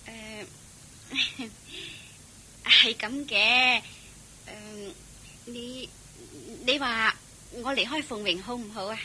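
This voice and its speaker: An actress playing a grudging, hard-up young wife in a radio drama, speaking curtly with a Hong Kong Cantonese accent. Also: female voice, fairly high pitch, steady tone, drawn-out words, long pauses, bright, forceful tone, a little hiss or hum, exaggerated voice, rough recording. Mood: hesitant, pleading. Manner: grumbling, curt, sighing. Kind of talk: Chinese radio drama acting.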